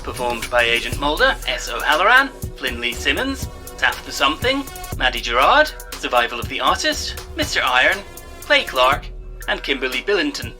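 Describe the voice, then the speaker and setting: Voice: medium pitch, very animated, normal speed, sharp tone, faint music behind. A performer playing a late-night radio host, reading a scripted sign-off and credits in a theatrical announcer manner. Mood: showy, courteous.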